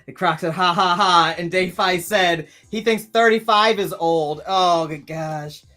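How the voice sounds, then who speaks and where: A man's voice: sits high, unhurried, sharp tone. Man in his thirties, live stream host, rambling casual chat, faint music behind. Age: 30s. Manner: rambling, restless, many restarts and fillers.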